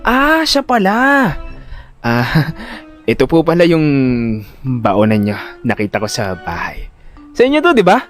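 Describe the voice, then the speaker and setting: Audio drama lead: male actor as jittery young Filipino guy, slightly high voice, very lively pitch, expressive. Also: a little slow, pauses often, full character voice. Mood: polite, sheepish. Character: jittery, vain, good-natured young everyman telling his story. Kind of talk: audio drama storytelling in first person. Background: music.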